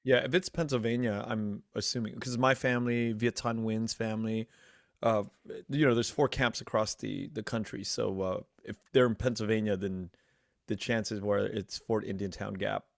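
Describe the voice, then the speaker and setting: A man hosting an interview show, asking questions in a measured, curious way. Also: fairly low pitch, steady tone, vocal fry, dry recording. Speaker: podcast host. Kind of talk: interview host with scripted intro. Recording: dry close mic, faint music.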